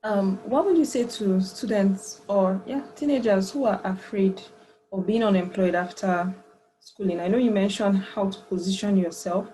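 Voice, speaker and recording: Mellow-voiced woman hosting an interview. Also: mid-range voice, normal speed, slight background noise, rough recording. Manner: attentive, frequent short murmurs of agreement. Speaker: interview host. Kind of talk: interview questions.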